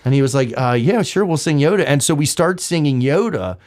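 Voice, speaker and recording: A man's voice: on the high side, brisk. A man, a comedian guest, telling stories casually and acting out what others said. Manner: animated, acts out quotes. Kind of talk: casual storytelling.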